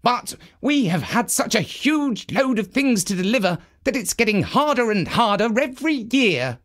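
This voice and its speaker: A man's voice: very high voice, sing-song, moderate pace, a bit bright, pitched above the performer's own voice, full character voice. A man voicing an anxious, deferential male steward in a children's storybook, polite and careful in manner. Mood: fretful.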